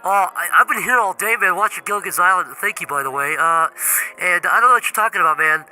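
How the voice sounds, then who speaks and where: Man playing a whiny, high-voiced, stammering Jesus. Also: fast talker, sharp tone, faint music behind, rough recording. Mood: petulant, threatening. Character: needy, passive-aggressive, petty Jesus leaving voicemails. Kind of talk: improvised comedy voicemail skit.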